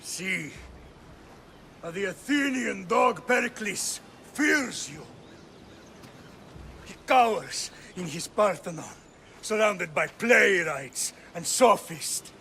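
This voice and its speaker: Forceful, high-pitched Spartan orator. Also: male voice, lively intonation, slow, creaky, pauses often, slight background noise, heavily acted. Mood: scornful. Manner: forceful, scornful, declamatory. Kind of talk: theatrical oration in video game.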